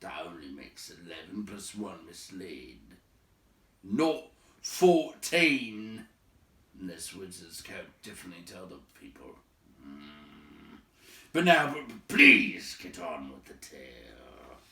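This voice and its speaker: A gruff, booming storybook big man, as a male reader puts him on, growls out slow, emphatic lines. He is impatient and grudging as a host. The voice sits in an echoey room, back from the microphone. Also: big pitch swings, bright, forceful tone, slight background noise, full character voice. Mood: suspicious, demanding. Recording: roomy echo, distant from mic.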